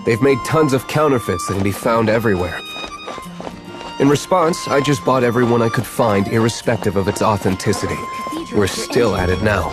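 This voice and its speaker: Man as a low, deadpan young aristocrat. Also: normal speed, over music, close to an everyday voice. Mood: measured, dry. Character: cool, aloof young wealthy winery owner, dry and guarded. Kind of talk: video game voice acting.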